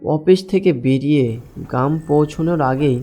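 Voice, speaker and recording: A man's voice: on the high side, normal speed, mellow, faint music behind. A man narrating a first-person horror story in a dramatic, suspenseful way.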